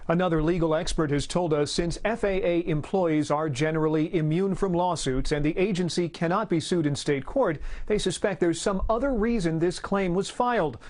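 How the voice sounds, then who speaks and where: An American man works as a TV news reporter, reading a scripted report. His voice sits a little high for a man, and the recording is dry and close. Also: moderate pace, hardly any pauses, darkish tone, dry recording. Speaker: investigative TV reporter.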